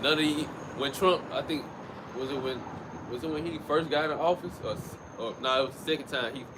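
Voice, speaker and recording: A man's voice: fairly high pitch, a little fast. A man teaching outdoors by a street in a loose, conversational way, often restarting his phrases. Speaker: street preacher. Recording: outdoor traffic noise. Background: passing vehicles.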